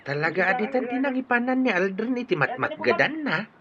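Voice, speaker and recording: An actor with an Ilocano accent playing a cheerful, proud middle-aged uncle in a radio drama. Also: male voice, high voice, very animated, fairly quick, dull audio, a crowd in the background.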